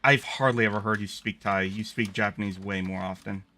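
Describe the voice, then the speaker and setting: A male streamer chatting casually while he plays, sardonic and quick to swear. His voice is bright and forceful, and he speaks close to a desk mic. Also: lowish voice, fairly even intonation, slight background noise. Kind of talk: casual gaming stream chat.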